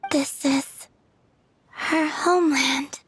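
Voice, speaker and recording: A woman playing a gentle, enigmatic young leading lady in an anime game dub, speaking softly and haltingly. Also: high voice, fairly even intonation, exaggerated voice. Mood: gentle, hesitant. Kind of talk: English-dubbed anime game acting.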